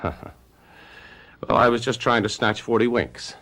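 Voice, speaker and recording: An actor in a radio mystery drama playing a suave, vain stage-star husband with murder in him, speaking in a theatrical drawl. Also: male voice, medium pitch, slow, fairly bright tone. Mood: irritated, evasive. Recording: noisy old radio drama recording.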